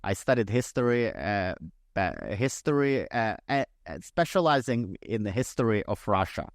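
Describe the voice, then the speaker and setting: A man's voice: on the low side, very animated, moderate pace, no echo, studio quality. A man in his thirties from Ukraine, a podcast guest speaking conversationally and thoughtfully, often restarting and repeating words. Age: 30s. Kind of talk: conversational interview.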